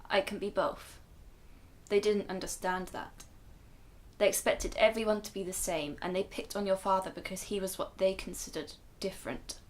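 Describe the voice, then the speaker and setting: A woman playing an earnest, protective grandmother in a radio drama, speaking in a measured, explanatory way. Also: medium pitch, fairly even intonation, natural voice. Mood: earnest, reassuring.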